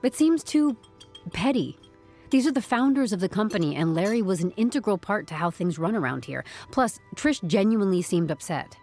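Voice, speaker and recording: A woman's voice: mid-range voice, animated, moderate pace, a little mellow, soft background music. An actress playing a wry, uneasy woman from HR turned amateur sleuth in an audio drama, with an awkwardly breezy manner. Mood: wry, probing. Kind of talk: podcast audio drama acting.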